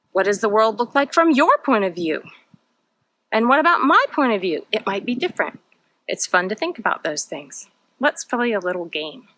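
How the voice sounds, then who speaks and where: A woman's voice: medium pitch, sharp tone, dry recording, rough recording. A woman hosting a storytime for young children, putting simple questions to her young viewers. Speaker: children's librarian storytime host.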